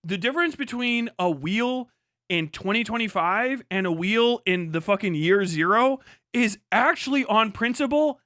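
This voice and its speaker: A man's voice: high voice, sharp tone, no echo, studio quality. A man on a casual, emphatic livestream rant, speaking off the cuff, repeating himself for emphasis and swearing freely. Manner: emphatic, repetitive, profane.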